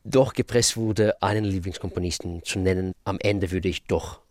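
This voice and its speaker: A man from Russia speaks, answering interview questions in a low voice. He is thoughtful, pauses to search for words and laughs easily. The sound is dry and close, as in a radio studio. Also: fairly even intonation, darkish tone, no echo. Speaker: guest pianist.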